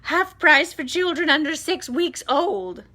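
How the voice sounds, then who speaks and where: A woman's voice: high-pitched, expressive, unhurried, pushed and bright, pitched above the performer's own voice, exaggerated voice. A woman voicing a greedy, impatient, crafty aunt from a children's storybook, exclaiming and insistent. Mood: shouting, officious.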